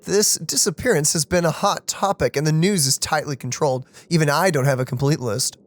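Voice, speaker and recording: A woman's voice: deep voice, fairly quick, no room sound. A woman streaming a let's play, casually commenting and reading game dialogue aloud. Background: game music.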